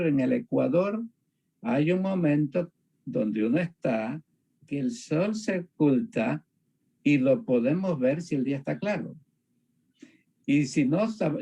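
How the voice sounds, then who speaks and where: A man from Panama, explaining reflectively in conversation, haltingly and repeating phrases for emphasis. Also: a little high, vocal fry, warm tone, breathy edge, highs cut off. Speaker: discussion partner on a religious show.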